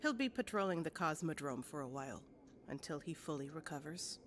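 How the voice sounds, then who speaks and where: A woman playing a composed, authoritative commander and mentor in an audio drama, measured, firm and dryly wry. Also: low voice, lively intonation, slight background noise. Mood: calm, firm.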